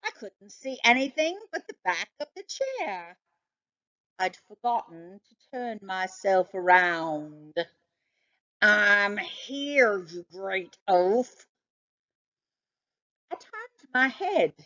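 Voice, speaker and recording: A woman reading a children's book aloud as an expressive narrator. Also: mid-range voice, takes it slowly, dull audio. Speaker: storybook reader.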